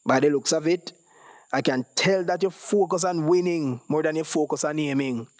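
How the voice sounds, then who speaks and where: A man reading the part of a calm, wise older companion, gently advising and mildly teasing. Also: fairly high pitch, normal speed, no room sound, studio quality, voice pushed up, close to an everyday voice.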